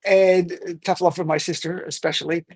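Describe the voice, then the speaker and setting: A man's voice: high-pitched, flat delivery, brisk, gravelly, darkish tone, husky, no echo, clean studio sound. A middle-aged American man hosting a talk show in a conversational interview style.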